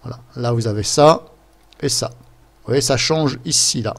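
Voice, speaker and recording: A man's voice: medium pitch, unhurried, gravelly. Man, mid-range voice. Informal tutorial presenter, improvising step by step, loose and hesitant delivery. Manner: rambling, hesitant, step-by-step, fond of filler words. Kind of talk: casual improvised screen-share tutorial. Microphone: computer microphone.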